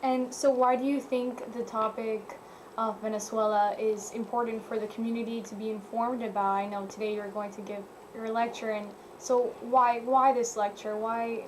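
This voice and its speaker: A woman with a fairly high voice asks short, direct interview questions. Clear background noise sits behind her voice. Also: level tone, moderate pace, rough recording.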